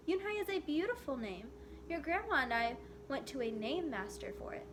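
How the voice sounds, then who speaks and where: A woman's voice: high voice, big pitch swings, moderate pace, noisy background, voice pushed up. A woman voicing a firm, loving, encouraging mother in a children's storybook. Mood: firm, reassuring.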